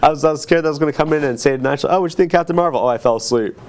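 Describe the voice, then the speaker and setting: Fast-talking man hosting a casual podcast. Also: fairly high pitch. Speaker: podcast host reviewing films. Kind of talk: casual chat.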